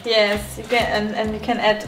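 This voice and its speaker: A woman's voice: medium pitch, little pitch movement, moderate pace, music in the background. A young woman co-hosting a home cooking show, cheerfully explaining each step of a recipe on a lapel mic in a home kitchen. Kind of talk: walking through a recipe step by step.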